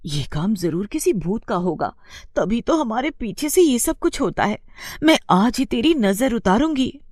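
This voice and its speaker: A woman's voice: a little high, big pitch swings, unhurried, a little mellow, no echo, studio quality, voice pushed up. A woman voicing a cautious, caring middle-aged village mother, admonishing in a motherly way in a moral story. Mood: worried, protective. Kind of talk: acted dialogue for moral story.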